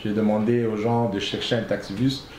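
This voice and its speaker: A man from Congo, a guest founder of an association, telling a personal story in an interview in an earnest, halting way, repeating his phrases. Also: lowish voice, flat delivery, a loud crowd. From Congo (DRC). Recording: echoey room, faint noise.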